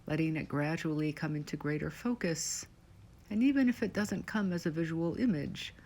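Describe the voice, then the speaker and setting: Low-voiced woman guiding a meditation. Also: darkish tone. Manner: measured, soothing, in short phrases with pauses. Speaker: meditation guide. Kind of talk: guided meditation, scripted narration.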